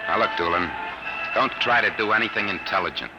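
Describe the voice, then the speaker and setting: A man's voice: on the low side, expressive, fairly quick, loud music behind the voice. A man playing a brusque, hard-boiled private detective boss in 1950s radio drama style, clipped and tough. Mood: businesslike. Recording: noisy vintage radio recording.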